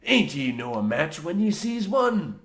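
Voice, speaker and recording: A man playing a gruff old coal mine foreman in an old-time radio comedy, blasé and folksy in archaic dialect. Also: on the high side, very animated, measured pace, a bit bright. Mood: blustering, indignant. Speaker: radio play actor.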